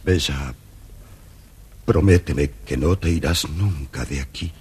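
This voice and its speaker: A sad gentle monster for a kids story record, really husky low voice, pleading. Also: male voice, slow pace, exaggerated voice. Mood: pleading, resigned. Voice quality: husky, raspy. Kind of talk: scripted children's audio storybook acting.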